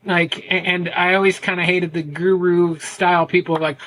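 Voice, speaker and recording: A middle-aged American man with a loud voice, telling his story candidly in a conversational interview, with frequent restarts and fillers. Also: high voice, flat delivery, normal speed, low-quality recording. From United States. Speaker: guest, men's ADHD coach.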